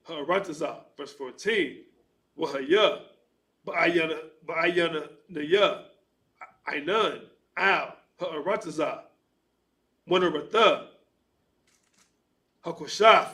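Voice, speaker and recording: A man reads scripture aloud at a very slow, careful pace. His voice sits a little high and sounds bright. He often stops and restarts hard words. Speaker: scripture reader. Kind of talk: reading scripture aloud in a religious class.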